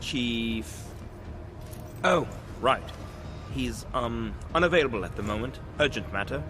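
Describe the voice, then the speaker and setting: A man's voice: medium pitch, lively intonation, measured pace, lightly acted. A man playing a shifty, officious and evasive security guard in a video game. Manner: hesitant, evasive. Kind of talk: video game cutscene acting. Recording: clear urban noise behind.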